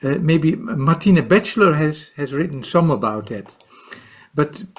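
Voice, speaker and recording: A man in his sixties with a Dutch accent, a meditation teacher answering as a podcast guest, speaking haltingly with many repeats and fillers. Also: fairly high pitch, expressive. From Netherlands. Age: 60s. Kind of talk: podcast interview.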